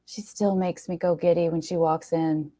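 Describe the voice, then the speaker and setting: A woman's voice: fairly low pitch, fairly even intonation, normal speed, mellow, close to an everyday voice. A woman voicing a nervous, lovestruck young man in a story, earnest and gushing. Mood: nervous, smitten. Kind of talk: storybook character dialogue.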